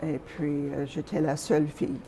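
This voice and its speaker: An older woman from Calgary, Canada, recalling her childhood and early teaching years as an interview guest, speaking softly with frequent hesitations and pauses. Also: deep voice, level tone, slight background noise, low-quality recording. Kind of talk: reminiscing in an interview.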